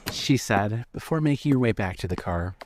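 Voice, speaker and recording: A man's voice: on the low side, lively intonation, moderate pace. A man narrating a scripted fiction story in the third person. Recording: clearly noisy background.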